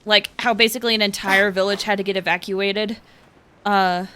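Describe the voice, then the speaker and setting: A woman's voice: medium pitch, fairly even intonation, moderate pace, bright, forceful tone. A woman giving casual live commentary as a video game streamer, playful and chatty.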